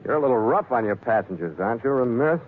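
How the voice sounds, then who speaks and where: A man's voice: medium pitch, lively intonation, fast talker, slight background noise, lightly acted. A man playing a tough, wary sea captain who narrates and acts in a 1940s radio adventure in a terse, wry manner. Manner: terse, hard-boiled, wry. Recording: narrow old 1940s radio recording, music.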